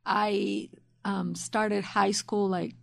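A woman's voice: medium pitch, little pitch movement, very slow, creaky. A middle-aged woman from Argentina whose first language is Spanish, telling stories casually as a podcast guest. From Argentina, Buenos Aires. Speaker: podcast guest, host's mother. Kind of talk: casual conversational storytelling.

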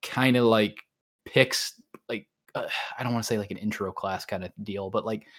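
A man's voice: lowish voice, fairly even intonation, moderate pace, a bit bright. A man hosting a podcast in casual chat, talking in a rambling, informal and self-deprecating way. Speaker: podcast host.

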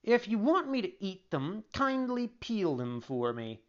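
Storybook puppet boy played by an adult reader: raised pitch, petulant and whiny, childish breathless pleading, slightly dull recording. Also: big pitch swings, unhurried. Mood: fussy.

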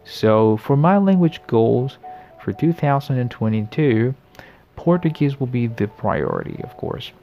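A man in his late twenties hosting a casual solo podcast, talking in a friendly, reflective way, often pausing and restarting his sentences. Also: mid-range voice, sing-song, highs cut off, faint music behind. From the Americas. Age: late 20s. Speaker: podcast host.

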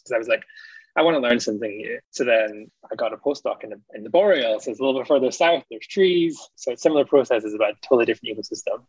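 A man's voice: medium pitch, husky, no room sound. A man in his thirties from Scotland, with a mild mixed Scottish accent, chatting casually as an interview guest and laughing often. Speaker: guest, ecologist. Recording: dull lo-fi dry audio.

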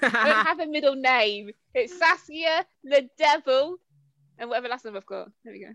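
Sarcastic woman, high voice. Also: expressive, moderate pace, a bit bright, no echo. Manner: blunt, sarcastic, swears casually. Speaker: podcast co-host. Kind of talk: casual chat among friends.

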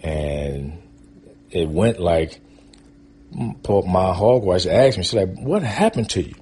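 A man's voice: low-pitched, animated, slow pace, dark tone, husky. An American man, around his fifties, tells stories from his own life in a casual, rambling, conversational way. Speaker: guest storyteller. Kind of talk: casual autobiographical storytelling.

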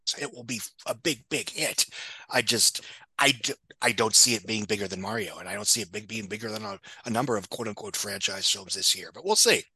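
A man's voice: fairly low pitch, fairly quick, bright, forceful tone, slightly rough, dry recording, poor audio. A man talking as a guest pundit in an opinionated podcast discussion, blunt and sardonic, with casual swearing and frequent digressions. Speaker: guest film box office pundit.

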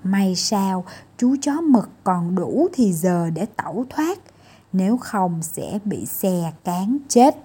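A woman's voice: medium pitch, expressive, slow, dark tone, slightly rough. A woman storyteller reading a children's fairy tale as scripted narration.

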